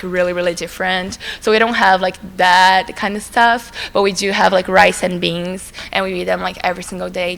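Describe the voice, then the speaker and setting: A young woman from Brazil, a native Portuguese speaker with a Brazilian Portuguese accent, giving a relaxed, chatty school presentation full of "like" fillers. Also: mid-range voice, vocal fry. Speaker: student presenter. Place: school assembly hall. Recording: long reverb in a large hall.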